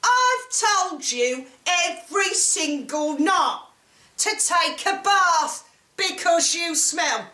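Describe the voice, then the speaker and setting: A high-pitched, scolding mother from a storybook , as a woman reader plays her. She is greedy and exasperated, exclaiming in a bright, forceful voice. The room adds some echo. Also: animated, takes it slowly, heavily acted. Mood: scornful. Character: scolding, hot-tempered, greedy mother from a fairy-tale. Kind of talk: theatrical storybook reading.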